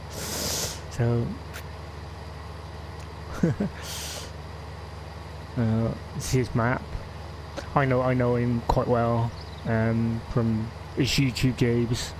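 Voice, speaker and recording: A man's voice: medium pitch, noisy background. A man with a West Country English accent chatting casually while streaming a game, rambling and laughing often. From England. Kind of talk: casual live-stream chat.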